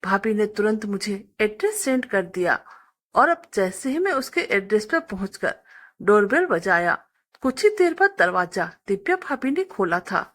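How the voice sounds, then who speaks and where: A woman's voice: mid-range voice, very animated, moderate pace, a bit bright. A woman reading a scripted first-person story as a narrator.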